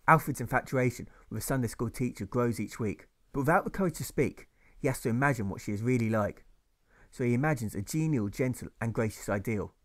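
Guy narrating a rhyming audiobook, medium pitch, rhythmic storytelling read. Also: fairly quick. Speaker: author reading his own audiobook. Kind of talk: scripted narration of rhyming novel.

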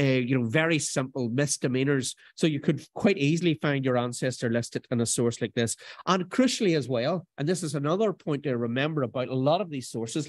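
A man's voice: a little high, darkish tone. A man giving a conversational lecture, explaining old records over slides with frequent restarts. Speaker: genealogy workshop presenter.

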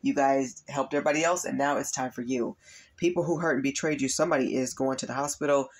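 A man with a raspy voice from allergies and overuse, earnestly giving a spiritual tarot reading to his viewers. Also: mid-range voice, steady tone, fast talker. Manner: earnest, repetitive, addresses viewers as you guys. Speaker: tarot reader and spiritual coach. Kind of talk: spiritual reading monologue to camera.

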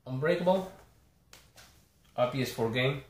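A man's voice: medium pitch, animated. Argentine man, echoey small room. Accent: Argentine Spanish. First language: Spanish. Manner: halting, apologetic, lists titles one by one. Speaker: collector showing a haul. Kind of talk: casual chat to camera. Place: small bedroom indoors.

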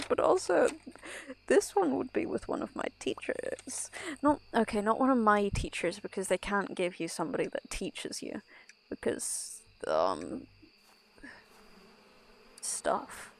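A woman's voice: medium pitch, wildly swooping pitch, a little slow, vocal fry, rough recording. A young woman from Ireland chatting casually while streaming a game, rambling and breaking off mid-thought. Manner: rambling, self-interrupting, laughs often. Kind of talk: casual gameplay chat.

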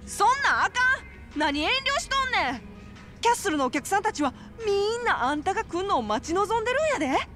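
A woman's voice: very high voice, quick pace, faint music behind, full character voice. A woman voicing a brash, coaxing female fight promoter with a Kansai accent in a video game. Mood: urgent coaxing. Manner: animated, coaxing, emphatic. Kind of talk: video game cutscene acting.

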